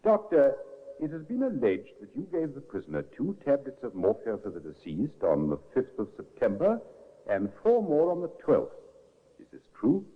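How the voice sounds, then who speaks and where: An actor playing a prosecuting barrister who questions witnesses formally in a 1950s radio drama. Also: male voice, medium pitch, wildly swooping pitch, normal speed. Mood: formal questioning. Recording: tinny old radio broadcast with reverb.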